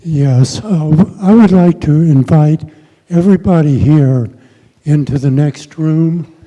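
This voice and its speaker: An older man addresses a meeting slowly and deliberately, one phrase at a time. His voice is very soft and mellow, heard through dull sound in a reverberant hall. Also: on the high side. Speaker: activist addressing a community meeting. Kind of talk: spontaneous public appeal. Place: large synagogue hall, indoors. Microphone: handheld microphone.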